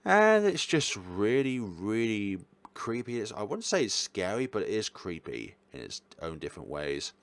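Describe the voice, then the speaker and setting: A man's voice: on the low side, very animated, moderate pace, no echo. A man giving casual tier-list commentary as a video host, talking in an informal, rambling way and correcting himself as he goes. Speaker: video host reviewing games.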